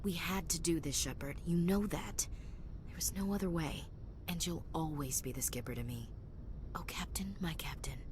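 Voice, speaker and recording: Low-pitched loyal female soldier played by a woman. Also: moderate pace, noisy background, close to an everyday voice. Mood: quiet, loyal. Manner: plain-spoken, direct. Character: loyal, frank female soldier, sailing as mutineer. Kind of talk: video game dialogue acting.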